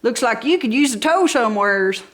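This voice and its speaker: A woman's voice: fairly high pitch, animated, moderate pace, fairly bright tone. A woman voicing a good-natured male tow truck from a children's storybook, with folksy, drawling country talk. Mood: folksy, easygoing.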